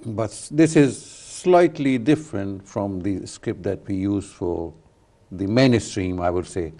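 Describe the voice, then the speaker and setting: A man's voice: on the low side, takes it slowly, mellow. An older man hosting a studio interview, hesitant, with many fillers and tag questions. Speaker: talk show host.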